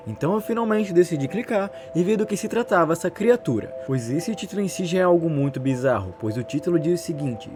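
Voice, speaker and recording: Brazilian Portuguese accent, male online narrator, quick pace, animated intonation, wordy casual storytelling, music behind. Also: a little high. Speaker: YouTube narrator.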